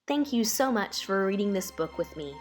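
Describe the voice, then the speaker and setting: A woman reading a children's picture book aloud as a storyteller, with measured, deliberate and dramatic phrasing. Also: mid-range voice, lively intonation, soft background music.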